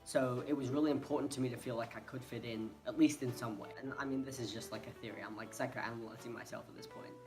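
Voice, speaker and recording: A man's voice: mid-range voice, steady tone, fast, few pauses, a little mellow. A young man from Hong Kong, talking casually to camera in a hesitant, rambling way and often restarting. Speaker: vlogger. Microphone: phone. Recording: phone recording with faint music behind.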